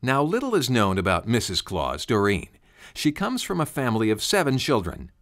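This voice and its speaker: A man's voice: medium pitch, wildly swooping pitch, moderate pace, a bit bright. A man narrating a scripted storybook tale in a dramatic storytelling style. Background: music under the narration.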